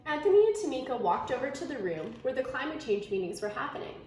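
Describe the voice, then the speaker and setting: A woman reading a children's story aloud in an expressive storytelling manner. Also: high voice, fast talker. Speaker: storybook reader. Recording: very echoey room, faint noise.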